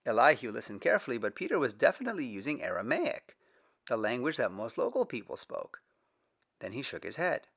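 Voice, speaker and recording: A man narrating an audiobook with expressive storytelling. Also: medium pitch, a little fast, creaky. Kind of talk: scripted narration.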